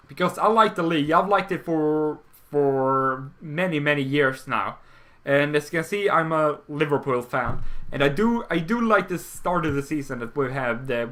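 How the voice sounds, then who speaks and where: A man's voice: on the high side, fairly bright tone. A man, casually answering viewer questions in a rambling way that keeps correcting itself. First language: Swedish. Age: 20s. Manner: rambling and self-correcting, with frequent restarts. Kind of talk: casual Q&A vlog.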